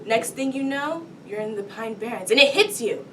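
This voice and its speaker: A woman's voice: animated, normal speed, lightly acted. A woman performing a cocky police detective who lays out a crime to a suspect in a comic TV monologue, taunting and dramatic. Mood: building, triumphant. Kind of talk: comedy TV monologue performed solo. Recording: distant mic, rumbling background noise.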